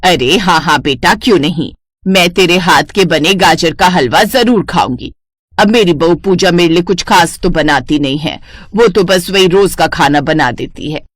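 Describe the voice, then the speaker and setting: A woman's voice: on the low side, moderate pace, no echo, clean studio sound, voice pushed down, close to an everyday voice. A woman voicing a complaining older mother-in-law in a cartoon family story. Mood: pleased, complaining. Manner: complaining, nagging. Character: scolding older mother-in-law, grudging and quick to praise others.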